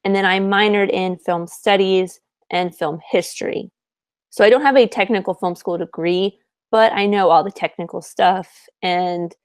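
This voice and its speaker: A woman's voice: medium pitch, no room sound, clean studio sound. Chatty young woman in her twenties. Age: 20s. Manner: chatty, self-deprecating, quick to laugh. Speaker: podcast co-host. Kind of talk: casual chat.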